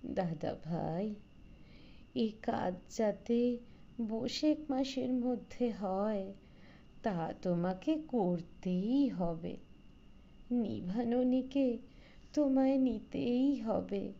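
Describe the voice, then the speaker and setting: A woman narrator acts out an elderly village matriarch in a storybook reading, affectionate and earnestly pleading. Her words come very slowly in a soft-edged, mellow tone. The recording is slightly dull. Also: fairly high pitch, vocal fry, exaggerated voice. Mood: tender pleading. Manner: slow, earnest entreaty.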